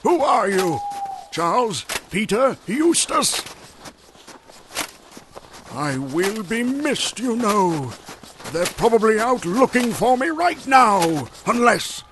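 Frantic, very high-pitched terrified man. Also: very animated, slow pace, long pauses, noisy background, exaggerated voice. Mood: frightened, desperate. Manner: panicked, crying out. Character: terrified hunted man, frantic and pleading for his life. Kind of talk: melodramatic radio drama acting.

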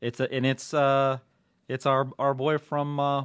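A man hosting a TV talk podcast, joking and animated, his voice jumping from loud bursts to low mutters. He sits at a close mic in a dry room and keeps a medium pitch. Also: a little slow, clear, resonant tone, no room sound. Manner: animated, loud, swings between shouting and mumbling. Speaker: podcast host, TV critic. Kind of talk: casual comedic podcast banter.

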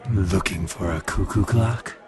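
Man acting low-voiced, wisecracking masked avenger. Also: unhurried, darkish tone, husky, heavily acted. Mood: cool teasing. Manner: dry, suave, quippy. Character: mysterious wisecracking male masked avenger, coolly flirtatious. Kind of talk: old-time radio drama parody acting.

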